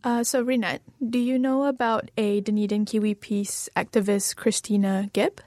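Woman radio host, mid-range voice, brief gentle interview prompts, close dry mic. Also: medium pitch, little pitch movement, moderate pace, dry recording.

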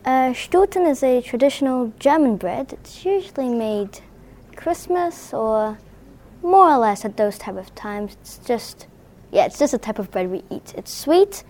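A woman's voice: high voice, animated, unhurried, darkish tone. 11 yr old girl from belgium teaching a kids baking class, lots of ums, chatty and a bit unsure. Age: 11 years old. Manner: hesitant, many ums, chatty. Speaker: young baking tutor. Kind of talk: casual workshop instruction. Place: community hub with children.

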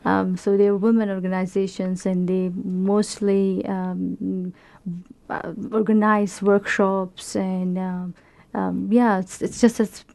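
Hesitant woman from Pakistan, mellow voice. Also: mid-range voice, flat delivery, gravelly. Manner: hesitant, many pauses and fillers, searching for words. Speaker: interview guest, project founder. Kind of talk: casual interview.

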